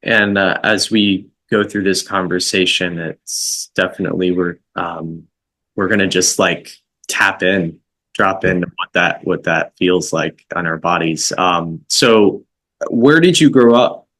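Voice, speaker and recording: A man hosting a podcast interview, speaking slowly and gently, affirming his guest with soft fillers. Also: low-pitched, rough recording. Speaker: podcast host.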